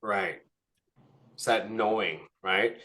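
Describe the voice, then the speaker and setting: A man's voice: lowish voice, slow pace, bright, forceful tone. A man leading a group coaching session, speaking casually and often checking in with a questioning "right?".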